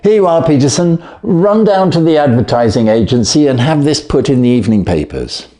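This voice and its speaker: Guy reading brisk victorian detective for an audiobook, very animated, commanding, precise, sure of himself. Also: mid-range voice, pitched above the performer's own voice, lightly acted. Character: brisk, clever, self-assured Victorian gentleman detective.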